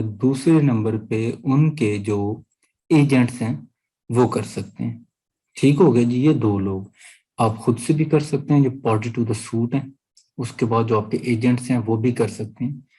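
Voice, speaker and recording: A man with a Pakistani accent teaching law in an online lecture, reading out statute and explaining it deliberately, often checking that listeners follow. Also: medium pitch, a little mellow, slightly rough, rough recording.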